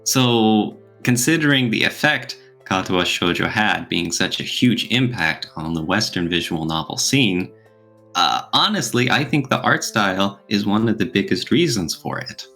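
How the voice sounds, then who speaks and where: Low, bright-voiced man chatting over music. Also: lively intonation, moderate pace, creaky. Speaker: podcast co-host. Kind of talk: casual podcast discussion. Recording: music playing behind the voice. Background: background music.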